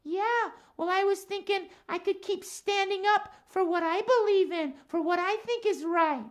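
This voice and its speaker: A man voicing an earnest, principled female cat puppet for a children's show, with a halting, repetitive way of talking. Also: extremely high pitch, pitched above the performer's own voice. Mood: earnest, determined.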